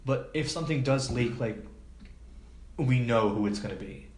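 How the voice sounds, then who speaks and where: A man's voice: mid-range voice, normal speed, a little mellow. A man speaking as an interview guest in a casual, informal, hesitant way, with lots of filler words. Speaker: podcast guest, film production assistant. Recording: echoey room, background noise.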